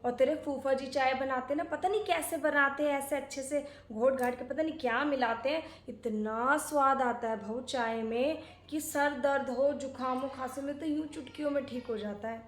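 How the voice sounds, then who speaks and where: Nagging auntie played by a woman, older and old-fashioned, high voice, moralizing comedy skit, echoey room. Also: normal speed, hardly any pauses, natural voice. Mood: gossipy, boastful. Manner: dramatic, moralizing, chatty. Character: scolding, old-fashioned older aunt, nagging and self-righteous. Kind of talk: domestic comedy skit acting.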